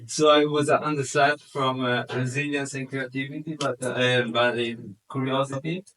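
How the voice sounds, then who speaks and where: A man talks in a friendly, slightly rambling way. His voice sits in a middle pitch. He is recorded from a distance, with a faint hiss. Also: steady tone, moderate pace, fairly bright tone. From Morocco, raised in Italy. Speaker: workshop participant.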